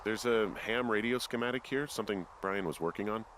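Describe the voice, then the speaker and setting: An actor playing a wry, easygoing fire lookout in a video game, talking in a dry, self-deprecating, casual way. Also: male voice, fairly low pitch, brisk, a bit bright, a little hiss or hum, lightly acted. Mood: reflective, wry. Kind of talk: naturalistic video game dialogue.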